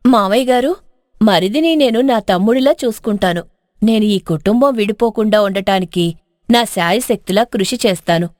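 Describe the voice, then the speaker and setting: A woman voicing a gentle, caring elder sister-in-law in a story, speaking with soft pleading. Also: mid-range voice, moderate pace, dry recording, studio quality, pitched below the performer's own voice, lightly acted. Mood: devoted.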